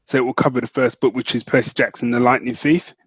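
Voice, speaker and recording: A man's voice: mid-range voice, fairly even intonation, quick pace, slightly rough, no echo. A man co-hosting a podcast, chatting casually and informally, repeating himself for emphasis. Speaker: podcast co-host. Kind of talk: casual chat about film and book news.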